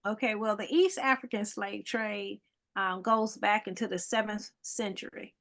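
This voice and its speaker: A woman's voice: medium pitch, steady tone, normal speed, fairly bright tone. A woman, guest and foundation director, explaining history in an interview with frequent ums and tag questions. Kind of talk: interview answers.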